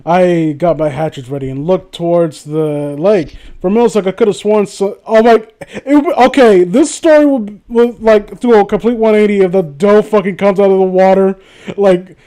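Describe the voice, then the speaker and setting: A man's voice: sits high, normal speed, dark tone. A man reading a story aloud as a comedy host, breaking off into sarcastic, incredulous, sweary commentary.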